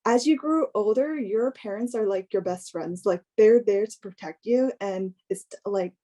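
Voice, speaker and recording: A young woman giving an informal personal talk in a hesitant, halting way, often filling in with "like". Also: medium pitch, mellow. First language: English. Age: young adult.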